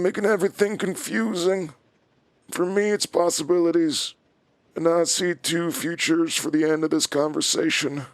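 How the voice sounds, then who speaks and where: A smug, menacing male villain in a horror audio drama. His voice is on the higher side and recorded dry, with a controlled, calculating and taunting delivery. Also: dry recording. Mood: coolly menacing.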